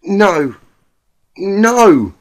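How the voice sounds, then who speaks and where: A man acts out a distraught young pegasus mare from a fan-fiction story. Her voice is pitched high and strained with panic. She stammers breathlessly and repeats herself, pleading to be left alone. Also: sing-song, drawn-out words, exaggerated voice. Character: young female pegasus pony, terrified, distraught, pushing help away.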